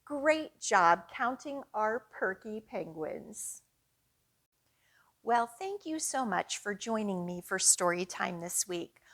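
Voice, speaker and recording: A woman leading a storytime for young children, reading expressively and guiding them along in an encouraging, teacherly way. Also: medium pitch. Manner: slow, expressive, encouraging, with clear teacherly guidance. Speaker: children's librarian hosting storytime.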